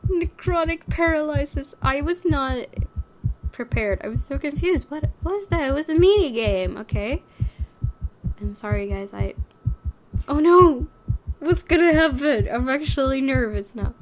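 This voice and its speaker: A woman's voice: sits high, expressive, a little slow, background noise. A woman commentating on a horror game in a reactive, giggly way, switching between reading story text aloud and exclaiming. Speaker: gaming video host playing a horror visual novel. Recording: loud music behind the voice. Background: horror game music.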